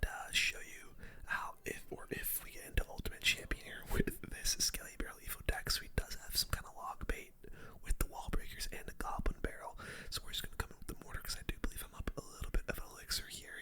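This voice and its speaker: A person doing gameplay commentary in a breathy, unvoiced ASMR whisper, using casual gamer slang. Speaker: gaming streamer, commentator.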